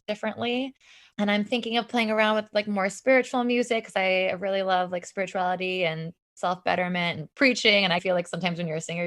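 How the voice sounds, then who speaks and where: A woman's voice: medium pitch, a bit bright. A woman in her thirties, a singer and bandleader, chatting casually and eagerly as a podcast guest, with many fillers. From Puerto Rico. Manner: enthusiastic, many "like" fillers, occasional restarts. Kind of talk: casual interview chat.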